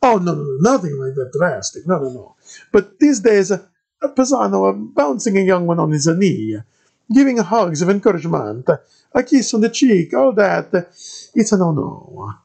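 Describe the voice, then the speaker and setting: A man voicing a fat, fussy Franciscan monk with a thick Italian accent who hesitates and fills his speech with pauses. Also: high-pitched, sing-song, vocal fry, darkish tone, breathy edge, voice pushed up, exaggerated voice. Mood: flustered. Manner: hesitant, full of fillers.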